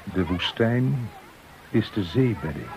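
An actor playing a grave soldier musing on desert war in a radio drama, with a measured, solemn delivery. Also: male voice, fairly low pitch, expressive. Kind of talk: poetic radio drama monologue. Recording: noisy radio play recording.

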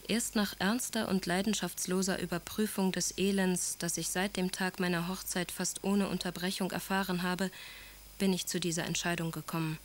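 An actress playing a composed, resolute young wife, reading letters in a measured, formal way in a radio drama. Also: female voice, fairly low pitch, level tone, a little hiss or hum, close to an everyday voice. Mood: grave, resolute. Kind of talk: German radio drama, letters read in character.

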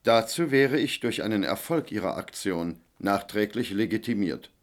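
A cool, cautious senior official played by a man in a radio drama. His voice is fairly low, and he speaks formally, weighing each phrase with calculation. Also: expressive, close to an everyday voice. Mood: guarded. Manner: measured, formal. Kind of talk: German radio play acting.

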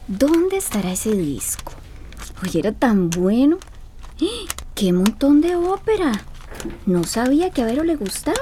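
An actress playing a curious, admiring young friend in a radio drama, with a Costa Rican accent and a gushing manner. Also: female voice, sits high, warm tone, a little hiss or hum. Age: young adult.

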